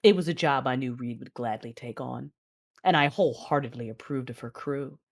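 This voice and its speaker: A woman playing a grieving female Jedi and mother, narrating her own tragedy in an emotive, measured audio drama style. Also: extremely low pitch. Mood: wistful. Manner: emotive, measured storytelling with anguished dialogue.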